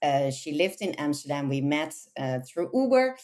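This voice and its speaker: A woman, a podcast guest telling lively, self-amused anecdotes in a casual interview. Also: deep voice, normal speed. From Netherlands. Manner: chatty, animated, self-amused storyteller.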